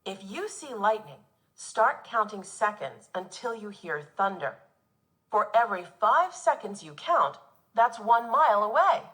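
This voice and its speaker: A woman teacher reading a nonfiction children's book aloud in an expressive, instructive way for young pupils. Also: medium pitch, unhurried, rough recording.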